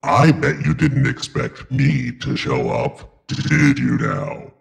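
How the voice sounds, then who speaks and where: A menacing animatronic bear showman for a horror game. He taunts slowly in a deeply fried, gravelly voice that swings wildly up and down. The delivery is smug and theatrical. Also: wildly swooping pitch, full character voice. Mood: smug, taunting. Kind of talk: video game character voice lines.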